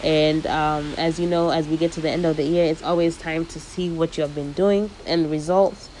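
Woman, young, podcast host, lower pitch, lively casual chat, Swahili slang mixed in, faint background hiss. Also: normal speed, rough recording. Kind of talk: casual solo podcast monologue. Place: living in the United States.

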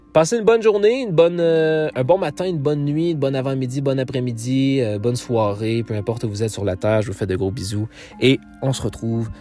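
A man's voice: medium pitch, a little mellow. Quebec French man rambling on his podcast. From Quebec, Canada. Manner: rambling, many fillers and restarts. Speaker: podcast host. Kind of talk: casual solo podcast outro.

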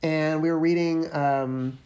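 A man co-hosting a relaxed podcast with his wife. His voice sits fairly high for a man. He is self-deprecating and joking, and often tells stories about his own slip-ups. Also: measured pace. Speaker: podcast co-host. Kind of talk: casual chat.

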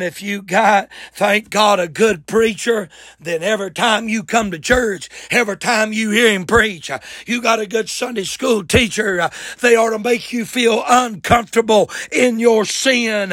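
Husky high-pitched man preaching fervently. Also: fairly bright tone, no echo, studio quality. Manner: emphatic, exclamatory, repeats phrases for emphasis; folksy, colloquial. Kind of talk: fervent church sermon.

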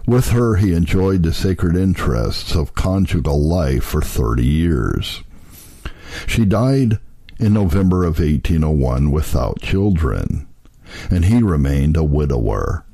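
A man narrating historical texts aloud in a measured, solemn and formal reading style. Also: a bass voice, very animated, mellow, husky. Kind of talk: scripted narration, reading old obituaries aloud.

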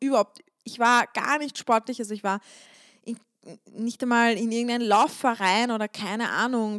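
Woman, higher voice, dry close sound, chatty and emphatic, stretching coach podcasting alone. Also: a bit bright, no echo. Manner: chatty and emphatic, repeats words for stress. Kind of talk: casual solo podcast monologue.

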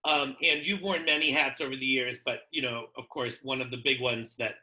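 A podcast host asking questions in a playful, laughing, animated way. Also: medium pitch, brisk, rough recording. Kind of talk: casual conversational interview.

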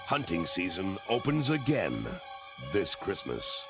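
Man, movie trailer voice-over, dramatic punchy delivery, lively pitch, loud film music and artillery behind. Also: measured pace. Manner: booming, punchy announcer delivery. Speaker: trailer narrator.

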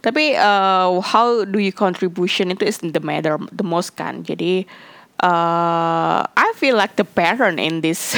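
Casting note: woman, lowish voice, relaxed chat mixing Indonesian and English, dry close mic. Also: dry recording. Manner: hesitant, many fillers and restarts. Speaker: fan sharing her experience.

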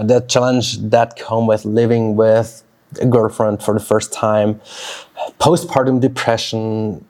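A man in his thirties from Switzerland, a native Swiss German speaker, thinking aloud in a personal vlog with pauses and restarts. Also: mid-range voice, a little mellow. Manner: reflective, talks slowly with pauses and restarts. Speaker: fitness coach. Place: outdoors near a road.

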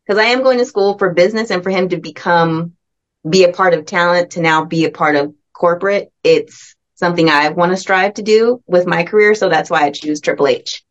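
A woman's voice: fairly low pitch, a little fast. Young woman guest on a podcast, upbeat, laughs a lot, kinda muffled audio. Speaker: guest, aspiring wrestling journalist. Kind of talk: casual podcast interview. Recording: slightly dull lo-fi audio.

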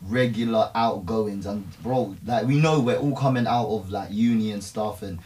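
A man's voice: lowish voice, moderate pace, darkish tone, far from the mic. A man, rambling in a casual group chat with lots of slang fillers. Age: 20s. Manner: laid-back, rambling, heavy slang fillers. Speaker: podcast guest.

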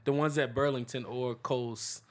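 A man's voice: mid-range voice, steady tone, measured pace. A young man co-hosting a casual podcast chat, talking in loose slang with lots of laughing. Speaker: podcast co-host.

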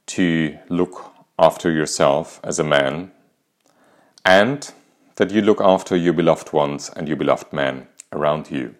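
A man in his fifties with an Austrian accent, hosting a podcast and calmly telling a candid personal story. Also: low voice. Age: 50s. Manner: calm, reflective, candid. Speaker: podcast host.

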